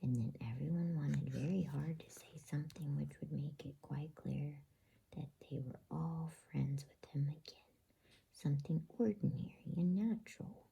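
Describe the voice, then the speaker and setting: A woman reading a bedtime story in ASMR style, with a hushed, breathy, husky whisper and an unhurried manner. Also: low voice, rough recording.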